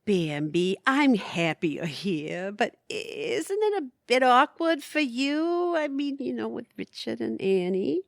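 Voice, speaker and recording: Woman playing a high-voiced, brassy single lady. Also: big pitch swings, slow, gravelly, hardly any pauses, dry recording, clean studio sound, heavily acted. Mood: pointed concern. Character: brassy, man-hungry single woman, family friend. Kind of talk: audio theatre comedy acting.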